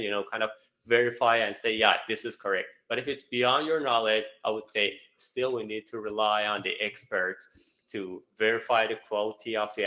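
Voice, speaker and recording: Male panelist, mid pitch, very bright tone, explanatory, example-driven. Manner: explanatory, gives everyday examples, many "you know"s. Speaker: panelist, digital marketer.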